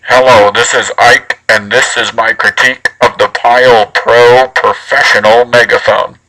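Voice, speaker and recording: A man's voice: mid-range voice, little pitch movement, unhurried, bright, forceful tone, low-quality recording. A man giving a casual product critique, his voice amplified harshly through a megaphone. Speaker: product reviewer.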